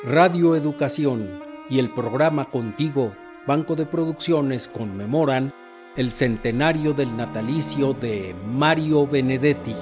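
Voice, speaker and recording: A man's voice: mid-range voice, a little slow. Mexican male announcer over string music. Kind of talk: formal scripted radio introduction. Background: bowed string music.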